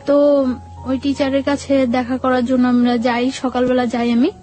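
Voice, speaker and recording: Interview guest: young woman, fairly high voice, repetitive step-by-step storyteller, light music underneath. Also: level tone, moderate pace, mellow. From Bangladesh, Barisal region. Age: young adult. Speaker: guest telling life story. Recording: music playing behind the voice. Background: background music.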